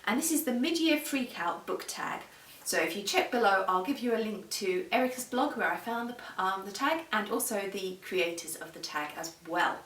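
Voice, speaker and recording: A woman's voice: medium pitch, animated, moderate pace, a bit bright. A woman giving a casual, enthusiastic, chatty book vlog to camera. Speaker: book vlogger. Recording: roomy echo, distant microphone.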